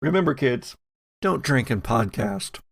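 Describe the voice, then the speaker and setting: A man hosting and game-mastering a tabletop podcast, talking in a measured way with a storyteller's flair. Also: medium pitch, animated, vocal fry, slightly rough, no echo. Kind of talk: casual chat and narrated game recap.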